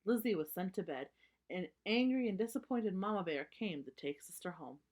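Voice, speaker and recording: A woman reading a story aloud for children, narrating and pausing to explain things simply. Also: medium pitch, expressive, darkish tone.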